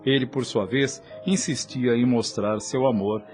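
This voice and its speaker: A man's voice: medium pitch, fairly even intonation, measured pace, darkish tone, soft background music. A man narrating a dramatized story as a scripted narrator.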